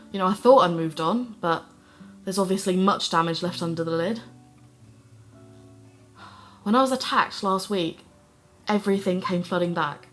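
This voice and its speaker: A woman's voice: medium pitch, close to an everyday voice. A woman in her twenties voicing a troubled young podcaster in an audio drama, talking candidly to a voice recorder. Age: 20s. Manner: candid, self-deprecating, talking to herself. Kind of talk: audio drama, diary-style monologue.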